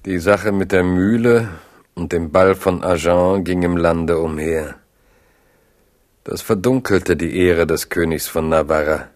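Male narrator, low pitch, measured, literary storytelling, radio play. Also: fairly even intonation, a little mellow.

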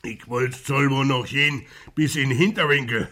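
An actor playing a sullen, lazy working-class husband in a radio play, terse and grumbling in German regional dialect, with a rough voice. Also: male voice, medium pitch, moderate pace, pushed and bright, natural voice. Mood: grumpy. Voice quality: gravelly, rough.

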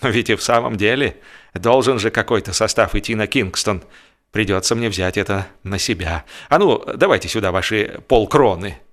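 A man's voice: on the low side, moderate pace, fairly bright tone, lightly acted. A man voicing an accommodating railway engine driver in a comic audiobook, reluctant but willing to oblige. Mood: grudging, obliging.

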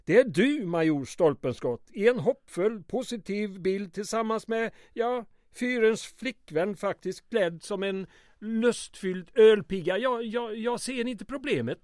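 Comic radio underling: high voice, eager to appease, slightly stammering, close dry sound. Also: male voice, lively intonation, darkish tone, dry recording. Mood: placating. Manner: hesitant, placating, slightly stammering. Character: nervous, smooth-talking, appeasing subordinate to a military commandant. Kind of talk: old-time radio comedy acting.

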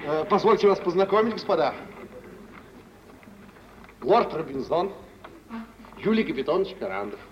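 An actor playing a jovial, worldly merchant prankster with showy mock ceremony, in old Russian stage-comedy style. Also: male voice, high voice, very animated, moderate pace, pauses often, far from the mic. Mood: mock ceremonious. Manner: theatrical, playfully ceremonious. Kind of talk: 1940s Russian stage comedy acting for radio. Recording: noisy, muffled mid-century radio play recording.

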